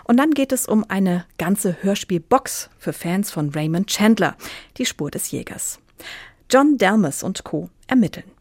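A woman's voice: mid-range voice, expressive, a little slow, darkish tone, dry recording. A woman hosting a radio programme, presenting from a script. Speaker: radio host.

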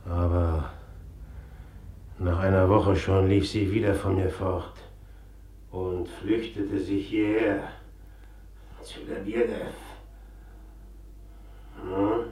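An actor playing a brooding, bitter, obsessively jealous suitor in a German radio play, speaking haltingly with a rough edge. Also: male voice, deep voice, fairly even intonation, mellow, heavily acted. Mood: brooding, haunted. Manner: halting and brooding, breaking into taunting outbursts.

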